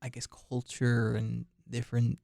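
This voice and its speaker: Brief: male podcast host, halting restarts, encouraging, gravelly voice, dry close mic. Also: medium pitch, completely flat delivery, mellow, dry recording. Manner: hesitant restarts, laughs a lot, encouraging. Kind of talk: casual interview chat.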